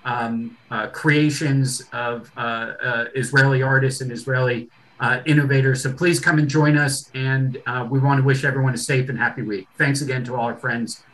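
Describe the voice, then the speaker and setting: A man's voice: medium pitch, fairly even intonation, moderate pace, fairly bright tone, poor audio. A man in his fifties hosting an online panel over a video call, wrapping up with many fillers and restarts. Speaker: host. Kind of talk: closing remarks of a webinar. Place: home room with bookshelves.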